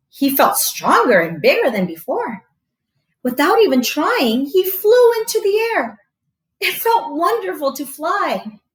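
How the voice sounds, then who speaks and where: A woman reading a storybook aloud to children as a teacher, expressive and explaining things simply. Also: a little high. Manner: expressive, explains to young listeners.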